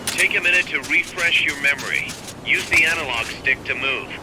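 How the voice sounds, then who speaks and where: A man playing a brisk, ambitious military second-in-command in a video game, speaking in an insistent, businesslike manner. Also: fairly high pitch, noisy background, natural voice. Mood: brisk, instructive. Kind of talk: video game cutscene acting.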